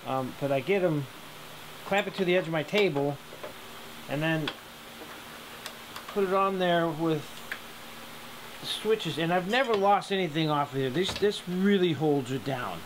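A man's voice: a little high, unhurried, long pauses, background noise. Plain-spoken man teaching welding. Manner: relaxed, plain-spoken, with ums and restarts. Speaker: hobby welder teaching a project. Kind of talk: casual workshop tutorial. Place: home metal workshop.

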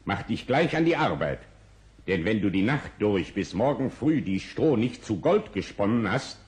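A man's voice: on the low side, sing-song. A man playing a greedy, stern king with an imperious, pompous delivery in an old fairy-tale radio play. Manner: pompous, imperious, drawn-out words. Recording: long reverberant hall sound.

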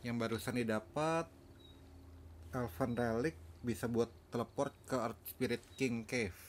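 A man's voice: mid-range voice, measured pace. A man with an Indonesian accent giving casual commentary on a video game he is playing. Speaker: gaming video host. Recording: clear background noise, game ambience.

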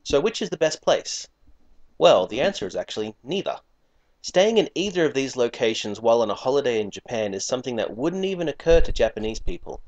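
A man's voice: mid-range voice, darkish tone. A man narrating a travel promotion in an upbeat, persuasive scripted voice-over.

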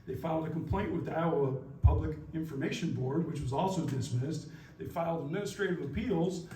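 A man giving forceful, combative, legalistic testimony as a company's speaker at a public hearing. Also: fairly high pitch, fairly quick. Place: public meeting room. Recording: roomy, distant mic, faint noise.

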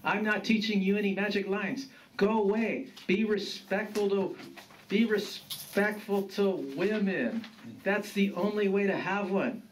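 A man's voice: high voice, unhurried, voice pushed up. A man voicing a grumpy, cynical dead grandfather in a story, blunt and needling. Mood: weary, scolding. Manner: blunt, scolding, needling with questions. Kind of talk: storytelling character voice. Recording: distant mic with background noise.

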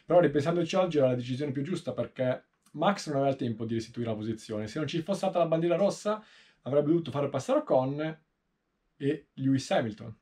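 A man in his thirties with a mid-pitched voice talks through race analysis informally. He explains things in a measured way and often pauses partway through a sentence. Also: lively intonation, a little mellow. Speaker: motorsport video host. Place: small indoor room, seated in a sim racing seat.

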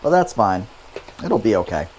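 A man's voice: fairly low pitch, sing-song, moderate pace, a little mellow, a little hiss or hum. A man hosting a home cooking show, talking through each step in a casual way with dry, wry asides.

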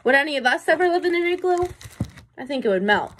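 A woman's voice: sits high, expressive, fast talker, fairly bright tone, a little hiss or hum. A young adult woman reading a picture book to children as a teacher would, with explanatory asides and questions to her listeners. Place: small room indoors.

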